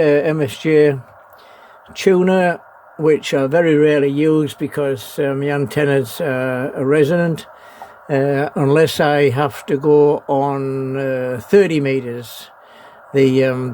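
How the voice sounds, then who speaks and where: A man gives a casual, unscripted show-and-tell in a halting, hesitant way, often pausing mid-sentence. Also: fairly high pitch, a little mellow. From New Zealand. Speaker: amateur radio operator, presenter. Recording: noisy amateur home video.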